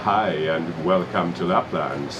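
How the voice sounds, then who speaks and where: A man whose first language is Finnish, speaking English with a Finnish accent in a Santa Claus role, talking slowly with many fillers. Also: deep voice. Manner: slow, hesitant, with many fillers. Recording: noisy room ambience.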